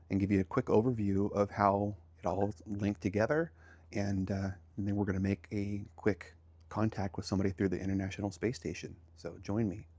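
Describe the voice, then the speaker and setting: A man's voice: on the low side, darkish tone, no room sound. A man giving a casual walk-through tour as host, explaining things in a plain-spoken way. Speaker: host, amateur radio hobbyist. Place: outdoors by a house, then garage and small radio room.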